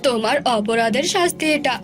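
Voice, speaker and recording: High-pitched, woman acting as stern king and father, commanding, formal, cartoon fairy tale, faint music. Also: lively intonation, fairly quick, lightly acted. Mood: stern, angry.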